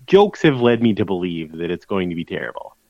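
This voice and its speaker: Wry podcast co-host, a man with a lively voice on a dry lo-fi line. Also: sits low, brisk, creaky, no room sound. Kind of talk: casual chat. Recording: dull lo-fi dry audio.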